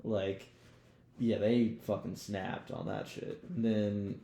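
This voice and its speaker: A man chats casually as a podcast co-host, loose and slangy with some swearing. His voice is fairly low and mellow, with a lot of fry. The room around him is audibly echoey. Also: flat delivery, takes it slowly. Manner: slangy, profane, informal. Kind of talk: casual chat, TV show discussion.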